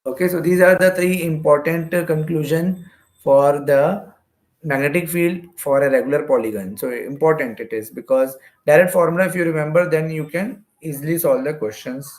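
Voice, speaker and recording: A man's voice: on the high side, normal speed, poor audio. A man with an Indian accent giving an explanatory exam-prep lecture as a physics teacher, going step by step and repeating phrases.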